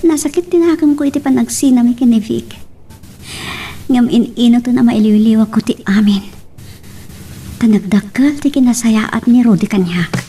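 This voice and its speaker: A woman's voice: fairly high pitch, normal speed, long pauses, warm tone, slightly rough. A woman with an Ilocano accent playing a heartbroken, sincere young woman in an amateur romantic audio drama. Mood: sorrowful, tender.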